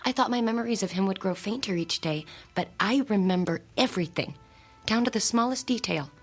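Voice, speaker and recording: An actress playing a distraught married woman confessing a strange experience to her psychiatrist in a radio drama, anxious and halting. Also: medium pitch, slightly rough, soft background music. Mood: anxious, bewildered.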